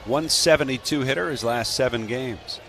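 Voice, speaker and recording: A man's voice: medium pitch, moderate pace, a bit bright, a little hiss or hum. An American man giving live baseball radio commentary and reading promos. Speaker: baseball radio play-by-play announcer and analyst.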